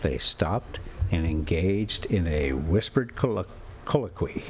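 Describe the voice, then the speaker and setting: A man with a lowish voice hosts a homespun story time. He takes his time, and his pitch swings in a lively way. His manner is folksy and informal, and there is some noise behind him. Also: slow. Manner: folksy, rambling, chuckling self-commentary. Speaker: storyteller and host reading a classic novel. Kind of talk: read-aloud story with casual asides.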